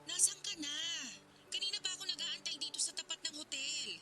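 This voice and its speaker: An actress in a radio drama playing an impatient, teasing older sister with a sassy manner. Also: female voice, very high voice, a little fast, pushed and bright, full character voice. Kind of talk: Tagalog radio drama acting.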